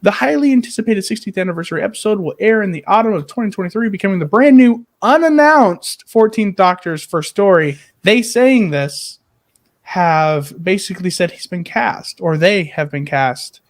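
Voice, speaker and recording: A man's voice: sits high, expressive, moderate pace. A man co-hosting a podcast, chatting casually about fan news with a joking, irreverent manner. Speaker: podcast co-host.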